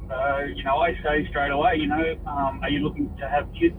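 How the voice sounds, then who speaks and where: A man in his forties talks frankly as a radio caller. His voice is slightly high and matter-of-fact, coming distantly from a car radio with faint road noise. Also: flat delivery, brisk. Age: 40s. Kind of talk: casual candid chat.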